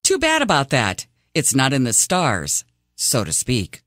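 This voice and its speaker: A woman's voice: extremely low pitch, huge pitch swings, measured pace, fairly bright tone, dry recording, clean studio sound, heavily acted. An actress voicing a condescending, probing female interviewer in a video game, formal and cutting. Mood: cool, needling.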